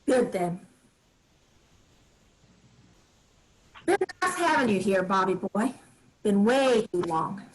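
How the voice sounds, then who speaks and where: A woman playing a firm, loving old farm grandmother in a staged play reading, giving brisk, kindly orders in plain rural dialect. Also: medium pitch, very animated, background noise.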